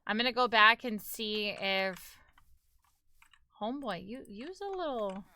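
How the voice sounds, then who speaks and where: A woman's voice: mid-range voice, animated, unhurried, pushed and bright, a little hiss or hum. A young woman with a New York accent, chatting casually with her live-stream audience while gaming, talkative and laughing often.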